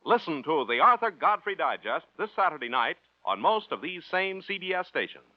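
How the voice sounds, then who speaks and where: A man's voice: fairly high pitch, sing-song, normal speed, background noise. A male network radio announcer reading credits and a promo in polished 1940s style. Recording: dull vintage radio recording.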